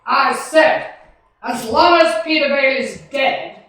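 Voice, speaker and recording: A woman playing a cold, scornful, miserly old businessman in a radio play, forceful and dismissive. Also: high-pitched, slow, a crowd in the background. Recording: very roomy, distant stage recording.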